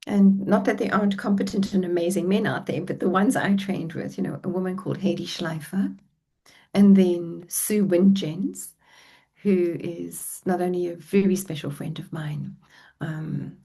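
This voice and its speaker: A woman's voice: on the low side, vocal fry, mellow, slightly rough. A middle-aged woman with a South African accent, telling her life story as a guest on a podcast in a reflective, heartfelt way. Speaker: relationship coach guest. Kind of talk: podcast interview.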